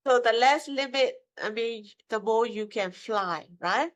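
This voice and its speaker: Woman in her fifties, slightly high. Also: a little slow. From Myanmar. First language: Burmese. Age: 50s. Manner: broken English with hesitations, emphatic tag questions. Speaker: guest, mortgage broker. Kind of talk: casual interview answers.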